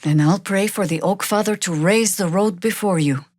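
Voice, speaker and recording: An actress playing a seasoned older female druid warrior in a fantasy video game, measured, wry and commanding. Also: mid-range voice, darkish tone, no echo, studio quality, lightly acted. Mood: grave, measured.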